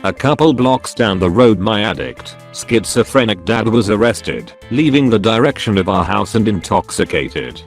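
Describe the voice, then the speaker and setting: A man with a fairly low voice narrates first-person stories in an even, flat reading manner. Soft music plays quietly under his voice throughout. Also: moderate pace, hardly any pauses. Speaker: narrator reading online posts. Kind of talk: scripted narration. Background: soft background music.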